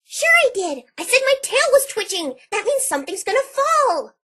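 A woman's voice: very high-pitched, sing-song, normal speed, sharp tone, heavily acted. A woman voicing a childlike, scatterbrained, bubbly cartoon girl pony with a perky, chirpy, naive delivery. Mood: matter-of-fact.

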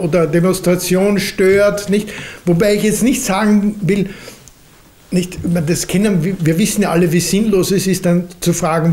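Older man, high-pitched voice, Austrian accent, lecturer, deliberate and tentative, unscripted answer. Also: darkish tone. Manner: deliberate, hedging, often adds a questioning "nicht?". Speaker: lecturer answering audience questions. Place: library lecture room, at a lectern. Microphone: lectern microphone.

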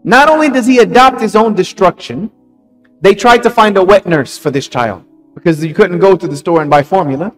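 A man giving a Friday sermon, telling a story with lively emphasis, repeating phrases and putting questions to the congregation. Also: high-pitched, fairly quick, faint music behind, low-quality recording.

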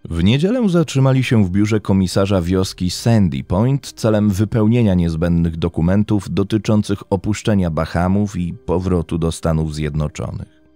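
A man narrating a scripted true-crime story in a measured, dramatic way with deliberate pauses. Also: low voice, expressive, mellow, dry recording. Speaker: podcast host and narrator. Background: background music.

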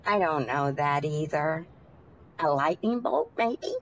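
Radio drama passenger, played by a woman: gentle, eccentric, unafraid, unhurried, expressive. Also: deep voice, slight background noise, heavily acted. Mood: earnest. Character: serene, eccentric, polite woman taxi passenger. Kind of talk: old-time radio drama acting.

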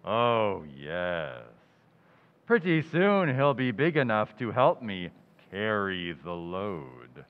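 A low slow creepy undertaker, dull roomy old radio sound. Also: male voice, very animated, clear, resonant tone. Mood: ghoulish. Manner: slow, hollow, punning. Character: gloomy male undertaker, slow and sepulchral. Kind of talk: old-time radio drama acting. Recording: dull reverberant stage recording.

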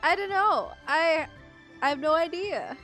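A woman's voice: extremely high pitch, lively intonation, a little slow, fairly bright tone, soft background music, rough recording. A woman from the Philippines giving casual, reactive, giggly live commentary as a gameplay streamer. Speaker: streamer, gaming content creator.

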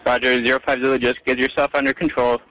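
Flat-toned male air traffic controller on noisy radio. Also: mid-range voice, quick pace. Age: 30s. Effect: aviation radio.

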